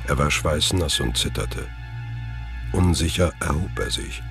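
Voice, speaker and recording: Male narrator, extremely low voice, scripted thriller storytelling over a clear music bed. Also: a little slow, a bit bright.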